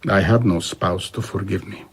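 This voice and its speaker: An actor playing an older traveller in an audio drama, recounting his past with reflective, measured husky speech. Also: deep voice, heavily acted. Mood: wistful. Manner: measured, explanatory. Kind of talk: audio drama dialogue, storytelling.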